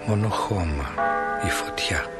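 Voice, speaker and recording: An actor playing a calm, philosophical stage manager who narrates a radio drama in a musing, folksy way. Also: male voice, sits low, lightly acted. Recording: radio play with loud music bed.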